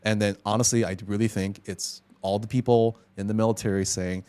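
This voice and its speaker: A man's voice: on the low side, flat delivery, a little fast, warm tone, smooth. A man hosting a casual podcast chat, giving blunt opinions and checking in with "right?". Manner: frank, opinionated, says "right?" often. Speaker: podcast host. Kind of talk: casual conversation.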